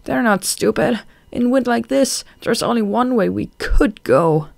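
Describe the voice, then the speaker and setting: A narrator voicing a young female bat who calls out calmly and practically. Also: medium pitch, unhurried, creaky, no room sound, voice pushed up. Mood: urgent. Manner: calling out over wind.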